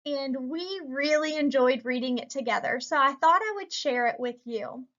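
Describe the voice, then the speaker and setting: High-voiced woman reading a picture book. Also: moderate pace. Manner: expressive, dramatic emphasis. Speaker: story reader. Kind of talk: children's picture book read-aloud. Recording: muffled lo-fi recording.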